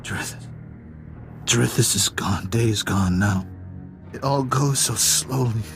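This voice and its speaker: A man playing an addled, pained slave in a video game, halting and disoriented as he rambles. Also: medium pitch, gravelly, over music. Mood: confused pleading. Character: dazed, addled male slave, pained and confused. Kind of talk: video game character acting.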